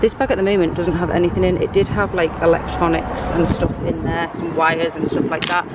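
A British woman in her thirties giving a relaxed, chatty walkthrough of her car, filmed on a handheld camera. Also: lowish voice, a little fast, very noisy. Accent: British English. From United Kingdom. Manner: chatty, relaxed, lots of fillers. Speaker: vlogger. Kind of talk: casual show-and-tell walkthrough. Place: inside and around a car, outdoors by the sea.

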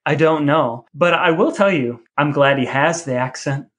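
Casual male podcast co-host, slightly high voice. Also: normal speed, fairly bright tone.

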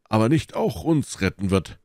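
Guy doing a blustery confused musketeer, pushed-up loud voice, blunt n emphatic, audiobook, dry. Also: lowish voice, very animated, normal speed, no echo, pitched above the performer's own voice. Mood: blunt, puzzled. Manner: emphatic, querulous. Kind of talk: audiobook character dialogue.

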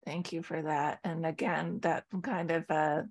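A woman's voice: sits low, level tone, a little slow, slightly rough. A woman hosting a webinar on a video call, reading out questions and moderating. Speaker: webinar host.

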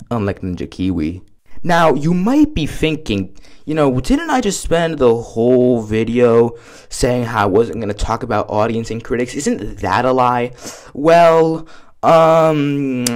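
A young man with a fairly low voice talks through a casual video essay. His delivery is deadpan and tongue-in-cheek, partly scripted and partly off the cuff. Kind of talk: casual scripted commentary with ad-libs.

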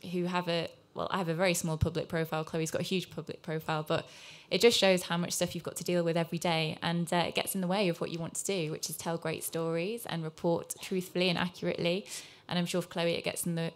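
Female panelist, journalist, candid and earnest, flat delivery, table mic. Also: lowish voice. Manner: earnest, candid, with frequent ums. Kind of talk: panel discussion remarks.

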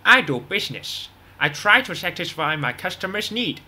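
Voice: medium pitch, big pitch swings, normal speed, bright, forceful tone. A performer playing a brash, unrepentant drug boss on the run in a radio drama, boastful and dismissive. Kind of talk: scripted radio drama interview.

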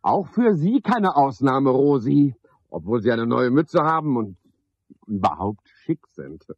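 An actor playing a garrulous, pompous, sardonic porter at a boarding house, with a husky voice, in a radio play monologue. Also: male voice, mid-range voice, very animated, unhurried, no room sound, muffled recording, full character voice. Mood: teasing, flirtatious. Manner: rambling, pompous monologue with mocking asides. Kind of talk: 1970s German radio play acting.

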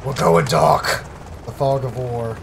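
Hoarse young man gaming commentary. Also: medium pitch, takes it slowly, background noise. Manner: casual, swears, clears throat. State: losing his voice, sick. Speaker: gaming YouTuber. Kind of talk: casual let's-play commentary.

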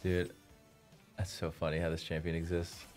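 A man's voice: low voice, monotone, normal speed. A young man whose first language is Farsi streams a game and chats with his viewers in a joking, self-mocking way, speaking into a headset in a small room. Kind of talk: casual stream commentary. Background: faint game music.

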